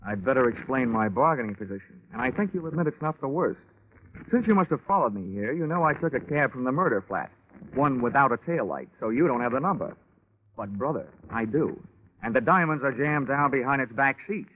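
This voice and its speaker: An actor playing a tough, wisecracking American insurance investigator with a wry, deadpan, hard-boiled delivery in 1940s radio style. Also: male voice, mid-range voice, fairly quick, lightly acted. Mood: hard-boiled, confident. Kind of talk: 1940s radio detective acting and narration. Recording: tinny band-limited 1940s radio recording.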